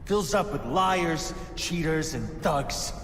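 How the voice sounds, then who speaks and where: Impassioned young villain, cavernous reverb. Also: male voice, on the high side, expressive, takes it slowly, over music. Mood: bitter preaching. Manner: preachy, impassioned monologuing. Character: idealistic, embittered young male anarchist villain, ranting and wounded. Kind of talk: dramatic video game acting. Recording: noisy game capture with long echoing reverb.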